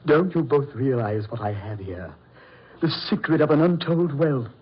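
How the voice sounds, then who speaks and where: An actor in an old-time radio horror play as a proud, coldly polite mad doctor, speaking in a deliberate, theatrical way. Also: male voice, mid-range voice, animated, slightly rough. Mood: grandiose, obsessed. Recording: muffled vintage radio drama recording.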